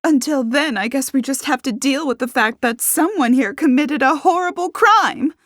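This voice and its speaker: A woman's voice: mid-range voice, sing-song, slow, fairly bright tone, husky, dry recording, clean studio sound, full character voice. A woman voicing a hot-tempered, accusatory woman in an audiobook mystery, huffy and demanding.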